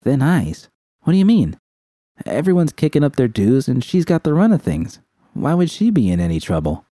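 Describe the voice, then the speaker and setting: A man's voice: mid-range voice, big pitch swings, normal speed, warm tone, no echo, studio quality, pitched above the performer's own voice. Man reading as a guarded, questioning young woman. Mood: puzzled. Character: guarded, puzzled young woman, story's heroine. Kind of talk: audiobook character dialogue.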